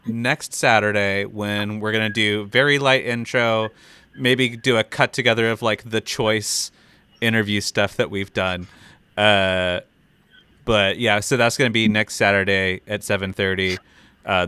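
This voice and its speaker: Bright, clear, low-voiced man hosting. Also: steady tone, measured pace, dry recording. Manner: upbeat, informal, slangy. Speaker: stream host announcing upcoming shows. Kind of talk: casual live-stream announcements.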